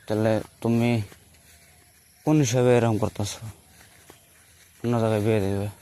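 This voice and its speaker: A man's voice: fairly low pitch, little pitch movement, moderate pace, warm tone, smooth. A young man speaking a rural Assam Bengali dialect, casually telling personal stories in a rambling way, circling back to repeat and rephrase himself. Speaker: storyteller recounting his own life.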